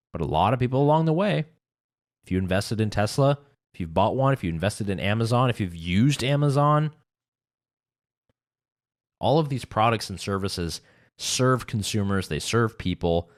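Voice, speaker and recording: A male radio talk show host giving opinionated commentary in a sarcastic, animated way. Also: on the low side, no room sound, studio quality. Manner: animated, sarcastic, laughs while ranting.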